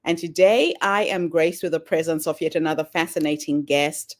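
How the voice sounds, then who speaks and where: A woman's voice: low voice, moderate pace. A woman hosting a podcast, reading a welcoming intro and greeting her guest. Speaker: podcast host.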